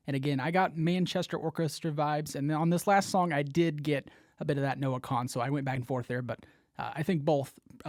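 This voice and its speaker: A man's voice: on the high side, a little mellow, dry recording, studio quality. A man presenting a local music radio show in a casual DJ style.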